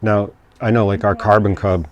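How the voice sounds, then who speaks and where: Deep-voiced male interviewer, casual. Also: level tone, normal speed, lo-fi sound. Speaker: interviewer, pilot. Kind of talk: casual on-site interview questions. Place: air traffic control tower.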